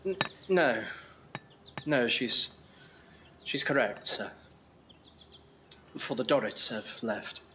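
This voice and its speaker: An actor playing an earnest, melancholy gentleman of Victorian London in an audio drama. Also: medium pitch, expressive, slow, long pauses, slight background noise. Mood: sad, hesitant. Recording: loud music and clinking behind.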